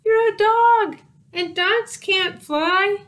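A woman's voice: very high voice, very slow, fairly bright tone, voice pushed up, exaggerated voice. A woman voicing a scoffing young male dog in a storybook, blunt and dismissive with his schoolmate. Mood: scornful.

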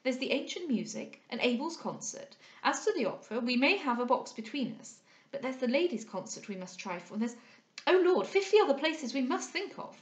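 A woman's voice: high-pitched, sing-song, brisk, roomy sound, pitched above the performer's own voice. A woman voicing a careless, gushing society lady with an offhand, exclamatory manner in an audiobook. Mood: breezy, gushing. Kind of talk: dramatised audiobook character voice.

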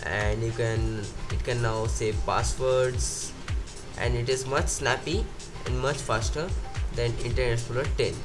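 A man's voice: mid-range voice, steady tone, measured pace. Indian man narrating a phone demo over music. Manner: unscripted, thinking aloud with fillers and self-corrections. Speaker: tech video host. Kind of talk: casual screen-recorded tech walkthrough. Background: background music.

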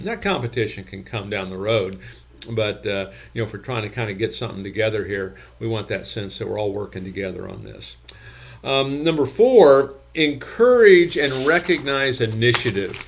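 Older male consultant, webcam talking head, folksy coaching, keeps checking "okay?". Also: mid-range voice. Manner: folksy, repeats points, ends lines with "okay?". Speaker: gym business consultant. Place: home office with bookshelves, indoors.

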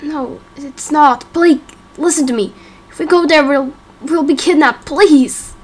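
A woman's voice: high-pitched, unhurried. A woman voicing a frightened young boy in an amateur game roleplay, hesitant, stammering and pleading. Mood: anxious pleading.

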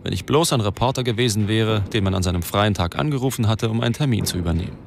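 A man's voice: on the low side, fast, gravelly, noisy background. An actor playing a self-loathing, cynical reporter who narrates his own story in a brooding, confessional way. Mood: bitter. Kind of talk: first-person radio play narration. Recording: music behind the narration.

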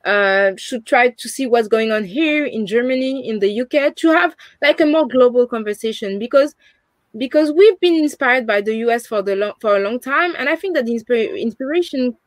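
A young woman with a French accent talks earnestly over a video call, her voice sitting a little high and sounding close and dry. She often restarts her phrases and fills them with "you know". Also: animated, normal speed, no echo. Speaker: interview guest. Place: home room, indoors.

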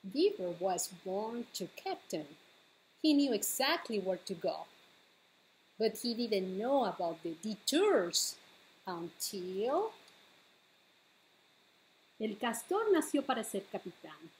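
A middle-aged woman hosting a bilingual storytime as a children's librarian, reading picture books aloud with deliberate phrasing for small children. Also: medium pitch, very animated, rough recording. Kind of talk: bilingual picture-book read-aloud for preschoolers. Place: living room at home, indoors.

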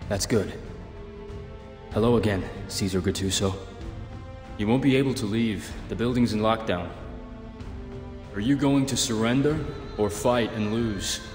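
An actor playing a solemn young underworld clan leader in a video game, formal, measured and stoic. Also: male voice, on the low side, close to an everyday voice. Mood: grave, resolute. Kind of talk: dramatic game cutscene acting. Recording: reverberant game audio with music behind. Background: music.

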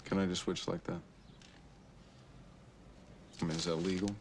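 An actor playing an easygoing young American man with an offhand, relaxed way of talking. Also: low-pitched, normal speed, slight background noise. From Hartford, Connecticut. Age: young adult. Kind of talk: film drama dialogue.

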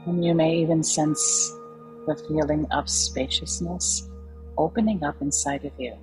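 A woman leading a guided meditation in a calm, soothing, unhurried way with long pauses. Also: deep voice, low-quality recording. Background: soft background music.

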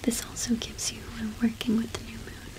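A young woman whispering in a breathy, gentle, unhurried way, as in an ASMR roleplay. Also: fairly high pitch, monotone, rough recording. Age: young adult. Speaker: ASMR host playing a shopkeeper.

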